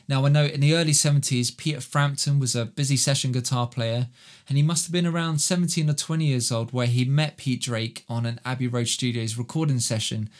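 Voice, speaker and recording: A man with a medium-pitched voice talks through guitar gear as a presenter. His delivery is casual and explanatory, like an online tutorial. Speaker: guitar effects demonstrator and presenter.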